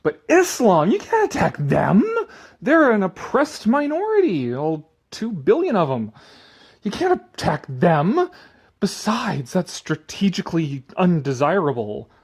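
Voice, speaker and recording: A man with a slightly high voice performs a dramatic reading peppered with sarcastic commentary. His pitch moves in lively swings as he goes from theatrical declaiming to exasperated muttering. He swears freely and casually. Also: breathy edge. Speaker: commentator reading an article aloud.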